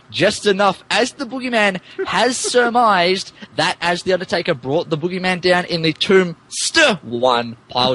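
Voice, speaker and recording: A man reads fan fiction as a podcast narrator with mock drama. His voice is forceful and very animated. He is amused and stumbles over words, with faint noise behind him. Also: high-pitched, low-quality recording.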